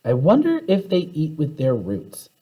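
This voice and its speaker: A man voicing curious, excitable young school children in a storybook, wide-eyed and questioning. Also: on the high side, moderate pace, lo-fi sound, pitched above the performer's own voice. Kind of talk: children's storybook read-aloud.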